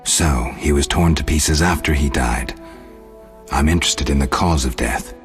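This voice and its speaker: A man voicing a stoic, gruff monster hunter in a video game, terse, deadpan and sardonic. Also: rumbling low, unhurried, faint music behind. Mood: analytical, deadpan.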